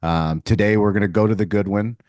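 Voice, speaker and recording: Low-pitched man hosting, close dry sound. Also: fairly even intonation, fairly quick, darkish tone, dry recording. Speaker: podcast host, restaurateur. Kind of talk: conversational hosting and interviewing.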